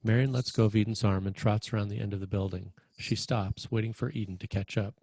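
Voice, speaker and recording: A man's voice: on the low side, steady tone, quick pace, darkish tone, dry recording. A man reading screenplay stage directions as narrator, in a plain, descriptive manner.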